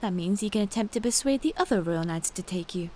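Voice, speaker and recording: A woman's voice: mid-range voice, lively intonation, quick pace, dark tone, natural voice. Stern royal knight woman acted, hissy recording. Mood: firm. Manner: firm, formal. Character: stern, dutiful female royal knight, long-suffering. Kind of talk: scripted fantasy audio drama acting. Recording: clear background hiss.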